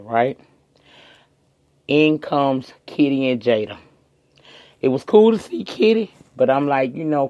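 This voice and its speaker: Hoarse, sassy woman vlogger. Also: extremely low pitch, darkish tone, dull audio. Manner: chatty, sassy, addresses viewers as girl and y'all. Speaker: reality TV review vlogger. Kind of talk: casual chat review.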